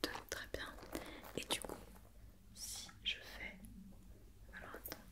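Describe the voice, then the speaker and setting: Female ASMR performer as a doctor: bright, sharp whisper, patient and gentle, repeats instructions softly. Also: takes it slowly. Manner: patient, gentle repetition of questions and reassurance. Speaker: ASMR roleplayer playing a doctor. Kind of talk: whispered ASMR medical check-up roleplay.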